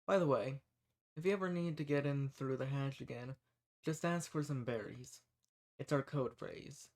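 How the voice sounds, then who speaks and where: A man's voice: mid-range voice, moderate pace, a little mellow, close to an everyday voice. A man playing a kind, folksy human rebel who earnestly reassures and shelters monsters in a scripted audio roleplay. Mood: friendly, reassuring. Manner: folksy, reassuring, earnest, uses y'all and ain't. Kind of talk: scripted audio roleplay, speaking softly to the listener.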